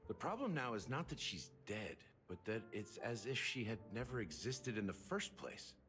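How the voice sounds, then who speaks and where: An actor playing an aging, wry bartender detective in a video game, speaking theatrically like an unhurried storyteller. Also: male voice, mid-range voice, expressive, a bit bright, lightly acted. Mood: grave, wry. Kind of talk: scripted video game dialogue. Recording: game music behind the voice.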